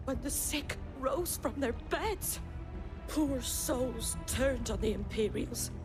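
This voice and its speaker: A woman's voice: high voice, takes it slowly, over music, poor audio. A woman playing a tough, outspoken innkeeper in a fantasy video game, blunt and earthy. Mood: bitter, shaken.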